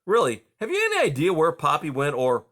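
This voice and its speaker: A man's voice: fairly high pitch, wildly swooping pitch, brisk, a bit bright, pitched above the performer's own voice. A man voicing a grown male mouse, a father, who talks patiently but presses for answers.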